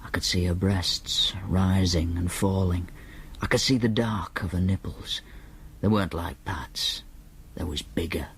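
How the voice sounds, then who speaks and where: A man's voice: low voice, fairly even intonation, a little slow, fairly bright tone, slight background noise. An actor narrating in first person as a young man with a Northern English accent, telling the story in a dry, matter-of-fact way. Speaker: narrator and main character.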